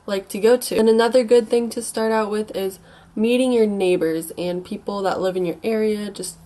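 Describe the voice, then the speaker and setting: A woman's voice: mid-range voice, fairly even intonation, moderate pace, warm tone. Stuffy-nosed young American woman chatting casually. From United States. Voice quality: stuffy, congested nose. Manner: informal, rambling, hesitant. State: mildly sick with a stuffy nose. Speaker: advice vlogger. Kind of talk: casual advice chat.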